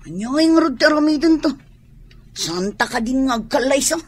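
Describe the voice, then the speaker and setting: A woman's voice: high voice, expressive, fairly quick, slightly rough. A woman acting an earnest, plaintive tomboy sweetheart in a radio drama. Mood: distressed, resigned. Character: lovestruck tomboy sweetheart, earnest, reluctant to wear a gown.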